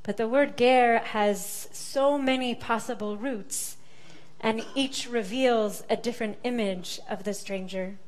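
Young woman, sermon at a sanctuary lectern; unhurried, deliberate, slightly high voice. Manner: measured, deliberate delivery with weighty pauses. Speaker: preacher. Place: large sanctuary, indoors.

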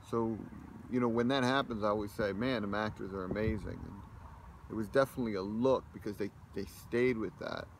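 A man in his thirties gives a casual, rambling movie review, filmed on a phone at arm's length in a parking lot. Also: lowish voice. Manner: rambling, loose, many fillers and restarts. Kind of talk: casual selfie video review. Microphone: phone camera held close at arm's length. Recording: outdoor phone recording with clear background noise. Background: outdoor ambience with passing vehicles.

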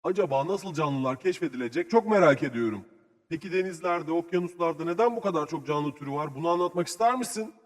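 A man's voice: a little high, expressive, quick pace, lightly acted. A man voicing a curious, enthusiastic young male co-host in an educational children's cartoon. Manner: inquisitive, eager, addressing children. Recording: voice with long reverberation.

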